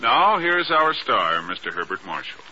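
A man's voice: mid-range voice, very animated, normal speed. A man announcing scripted credits in the formal style of a 1950s radio broadcast. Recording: muffled old radio broadcast, music behind. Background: orchestral music.

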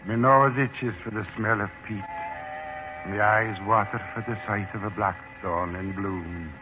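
Guy doing a sly irish leprechaun butler, low voice, fancy wordy talk, tinny 1940s radio show. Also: fairly even intonation, measured pace, close to an everyday voice. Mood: dignified, wry. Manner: wordy, mock-formal, flustered when cornered. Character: dignified, sly Irish leprechaun posing as a manservant. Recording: tinny old 1948 radio broadcast, music.

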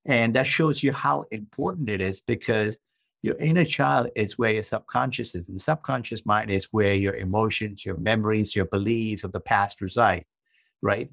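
A man's voice: fairly low pitch, moderate pace, gravelly, fairly bright tone, no echo, clean studio sound. A man in his fifties, from Malaysia, explaining earnestly as a guest in a podcast interview. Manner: earnest, explanatory, uses rhetorical questions. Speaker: guest author and coach.

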